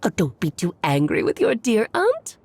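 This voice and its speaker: A woman's voice: mid-range voice, huge pitch swings, moderate pace, darkish tone, no room sound. A woman voicing a playful, elegant, mysterious witch aunt in a video game, speaking in a coy, theatrical, genteel manner. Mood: coy teasing. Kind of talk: video game character acting.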